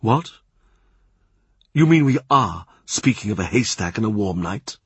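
A man voicing a domineering, blustering powerful cardinal, husky and sarcastic. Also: on the low side, expressive, measured pace, dry recording, full character voice. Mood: indignant. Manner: forceful, imperious, sarcastic.

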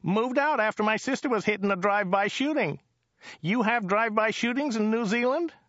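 High nasal driver. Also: male voice, brisk, fairly bright tone, no room sound, dull audio. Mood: blithe, chatty. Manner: rambling, repetitive, whining. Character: talkative, oblivious male American driver from California. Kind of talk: audiobook character voice.

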